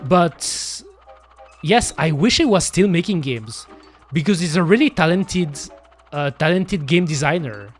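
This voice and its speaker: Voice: medium pitch, lively intonation, unhurried, faint music behind. Gaming streamer, English, loose rambling chatter full of fillers and casual cursing. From Belgium. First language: French. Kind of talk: casual chat while playing.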